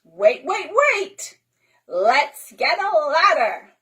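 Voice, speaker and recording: A woman's voice: sits high, very animated, extremely slow, a bit bright, voice pushed up, exaggerated voice. A woman voicing a bold, playful young girl with squealing, exaggerated delivery. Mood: gleeful.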